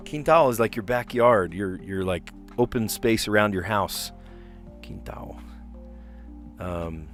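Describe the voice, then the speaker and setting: Man, 40s, lower voice, close desk mic, casual home puzzle stream with soft guitar behind. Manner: thinks aloud, easily amazed, chuckling. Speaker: puzzle livestreamer. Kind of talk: casual livestream commentary. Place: home room, indoors. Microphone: close podcast mic on a desk.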